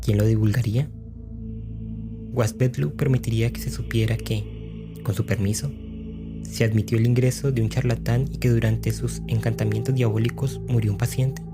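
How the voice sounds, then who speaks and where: A man voicing an older gentleman who patiently and gravely recounts a grim memory in an audio story. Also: mid-range voice, fairly even intonation, moderate pace, loud music behind the voice, lightly acted.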